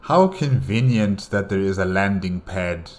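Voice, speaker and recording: Guy doing casual reaction commentary, lowish voice, halting and mild. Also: lively intonation, over music. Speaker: reaction video host.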